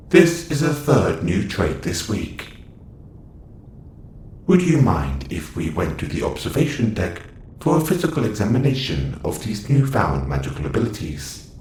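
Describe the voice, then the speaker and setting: A man's voice: low voice, big pitch swings, unhurried, long pauses, a little mellow, echoey room, slight background noise. A man playing a formal, scolding robot warden in an audio roleplay, with clipped phrasing. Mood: stern, disapproving. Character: stern, formal male-voiced robot prison warden.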